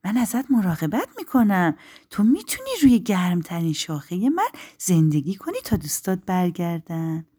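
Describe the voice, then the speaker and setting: A woman voicing a kind, motherly spruce tree in a children's story, gentle and reassuring. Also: lowish voice, very animated, husky. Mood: tender.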